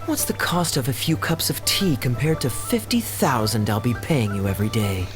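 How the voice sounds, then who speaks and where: Man playing a smug, lively rich gambler. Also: mid-range voice, moderate pace, music in the background, lightly acted. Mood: breezy. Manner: nonchalant, teasing, condescending. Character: smug, carefree rich male gambler, breezily taunting. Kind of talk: video game voice acting.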